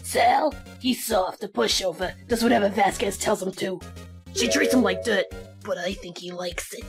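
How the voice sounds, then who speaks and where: A woman's voice: mid-range voice, moderate pace, creaky, lightly acted. A woman voicing a cranky, scolding old female security guard in an amateur game fandub. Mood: curt, gossipy. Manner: scolding, melodramatic. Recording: music playing behind the voice.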